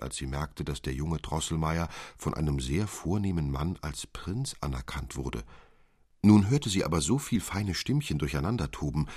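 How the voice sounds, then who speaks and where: A man with a low, husky voice narrates a classic fairy tale. His storytelling is literary and expressive, and the recording is close and dry. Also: dry recording.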